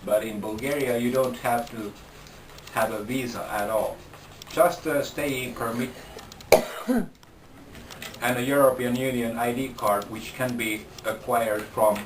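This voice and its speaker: Slow-talking Finnish man, distant mic. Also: medium pitch, steady tone, background noise. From Finland. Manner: slow, halting, with repeated restarts. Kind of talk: casual interview talk. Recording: roomy sound from a distant mic.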